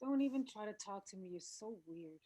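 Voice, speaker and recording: A woman's voice: mid-range voice, lively intonation, a little fast, mellow, close to an everyday voice. A woman acting an edgy sixteen-year-old mean girl who leads her crew, scornful and commanding, in a scripted read.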